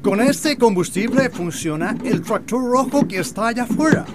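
An actor plays a welcoming man who tinkers with inventions in a scripted family drama. His pitch moves around in a lively way, and clear ambient noise sits behind him. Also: normal speed. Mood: friendly, inviting. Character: friendly hospitable man, amateur biofuel inventor. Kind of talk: scripted educational drama dialogue.

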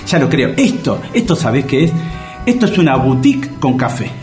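An actor playing a grumbling, easily flustered neighborhood café regular in a comic radio play, with a Buenos Aires accent and slangy talk. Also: male voice, mid-range voice, moderate pace, reverberant, faint music behind, lightly acted. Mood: exasperated. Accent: Buenos Aires Argentine. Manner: grumbling, excitable, colloquial slang.

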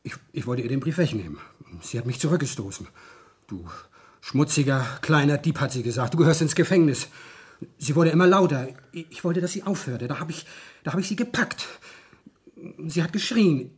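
An actor playing a cornered, guilt-ridden office clerk in a 1970s German radio crime drama, speaking in a halting, pleading manner. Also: male voice, on the high side, animated, husky. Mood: anguished, pleading.

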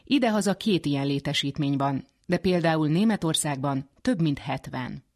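A woman's voice: low-pitched, animated, a little mellow. A woman presenting a scripted radio magazine as its editor and host.

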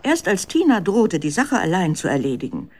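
Female narrator, scripted storytelling, children's radio drama, measured delivery. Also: lowish voice, huge pitch swings, darkish tone.

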